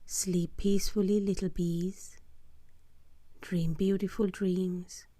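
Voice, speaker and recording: A woman gently guiding children through a scripted bedtime meditation, soothing and hushed, often close to a whisper, in short phrases with pauses. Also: fairly low pitch, flat delivery, no echo. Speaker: host of a children's sleep meditation.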